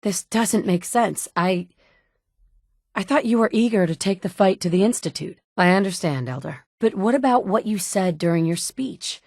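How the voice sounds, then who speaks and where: A woman's voice: mid-range voice, animated, normal speed, darkish tone, dry recording, clean studio sound. A woman voicing a determined young mother who searches a ruined world for her kidnapped son, blunt and dryly sarcastic, recorded as video game dialogue. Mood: sardonic. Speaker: player character voice actress. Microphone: studio mic.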